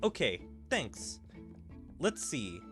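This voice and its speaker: A man reading the lines of a calm young male leader in a game, relaxed and friendly. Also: medium pitch, very slow, over music, heavily acted. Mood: easygoing.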